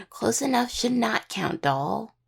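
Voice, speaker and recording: Girl voice acting young necromancer gf, super raspy n croaky, caring and teasy, close relationship roleplay audio. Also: on the high side, heavily acted. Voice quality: husky, gravelly. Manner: hesitant, teasing, full of pet names. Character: caring, teasing young necromancer healer, affectionate partner. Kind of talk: intimate conversational audio roleplay.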